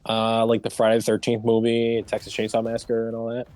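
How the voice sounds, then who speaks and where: A man's voice: mid-range voice, monotone, fairly quick, darkish tone, dry recording. A man chatting casually about games as a co-host on a stream. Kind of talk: casual gaming chat. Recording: music playing underneath. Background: music.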